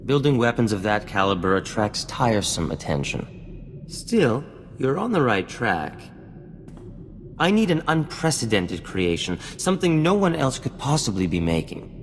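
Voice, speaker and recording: An actor playing a vain, charming scientist in a video game, talking in grandiose, courtly phrases. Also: male voice, medium pitch, very animated, unhurried, long reverb tail, slight background noise. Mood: pondering. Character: flamboyant, vain, charming male scientist and self-styled genius. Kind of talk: scripted video game acting. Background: music.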